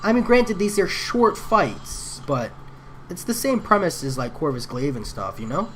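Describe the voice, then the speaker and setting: A man's voice: sits high, very animated, a little slow, a bit bright, a little hiss or hum. A man streaming a mobile game, chatting casually with viewers while he plays. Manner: chatty, answers chat viewers. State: voice getting worn. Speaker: gaming streamer. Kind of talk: casual live commentary while playing.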